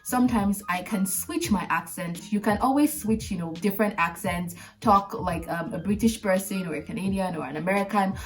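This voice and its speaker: A woman's voice: mid-range voice, normal speed, few pauses, far from the mic. A woman in her late twenties with a Nigerian accent, hosting an advice vlog in an emphatic, direct way that repeats points for stress. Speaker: video host.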